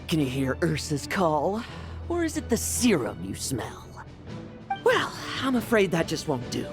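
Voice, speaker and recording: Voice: mid-range voice, wildly swooping pitch, a little slow, over music. An actor voicing a defiant knight who taunts attackers and stands guard for weaker people, in a game cutscene. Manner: bold, taunting battle cries. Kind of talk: video game cutscene voice acting.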